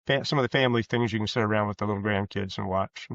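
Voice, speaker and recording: A man's voice: fairly low pitch, a bit bright. An American man in his sixties answering casually as an interview guest, in a hesitant, folksy way. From rural Kansas, USA. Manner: hesitant, halting, folksy phrasing. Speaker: guest, author and former police officer. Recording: roomy, dull lo-fi sound.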